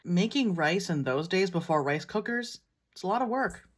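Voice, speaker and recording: A woman's voice: fairly low pitch, expressive, moderate pace. Filipina American woman telling a folktale. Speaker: storyteller. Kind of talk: casual storytelling.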